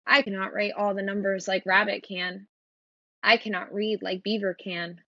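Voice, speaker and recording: A woman's voice: medium pitch, flat delivery, moderate pace, dry recording, muffled recording, close to an everyday voice. A woman voicing an anxious young boy turtle in a children's storybook, with a hesitant, plaintive delivery.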